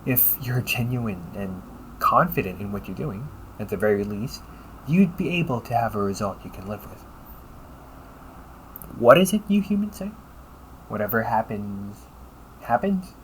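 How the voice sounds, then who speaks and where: A man playing a polite, caring A.I. companion in an audio roleplay, speaking in measured, formal phrases and often checking with a questioning tag. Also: fairly low pitch, expressive, slight background noise, poor audio. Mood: curious. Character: polite, caring male A.I. companion, gently logical and encouraging. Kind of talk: audio roleplay addressed to the listener.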